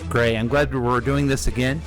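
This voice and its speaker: A man's voice: mid-range voice, fairly even intonation, normal speed. A man hosts a small local radio show, chatting casually and playfully with his guest as he lines up the questions. He speaks into a studio microphone, with faint music under the talk.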